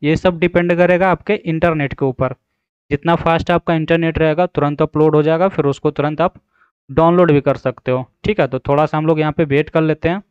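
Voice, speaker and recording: A man's voice: a little high, steady tone, a little fast, darkish tone, smooth, no echo, lo-fi sound. A man narrating a casual step-by-step app tutorial in an instructional manner, recorded as a voice-over. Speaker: tutorial host.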